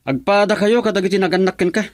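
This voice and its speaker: A man's voice: high-pitched, fast, no room sound, studio quality, natural voice. An actor with an Ilocano accent playing an insolent, jealous young man who sneers and accuses in a radio drama. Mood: scornful, accusing.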